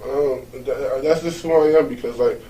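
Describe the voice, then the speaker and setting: A man's voice: mid-range voice, moderate pace, echoey room, distant mic, low-quality recording. A young man from Jamaica who plays college basketball, answering casually and filling his talk with "like". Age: young adult. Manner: casual, many "like" fillers, soft-spoken.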